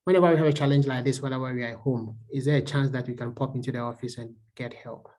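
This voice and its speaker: A man takes the floor at an online discussion forum with a mid-pitched, everyday voice. He is hesitant and repeats words as he works toward his question, pausing on "uh" along the way. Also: fairly even intonation, darkish tone. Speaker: forum participant asking questions.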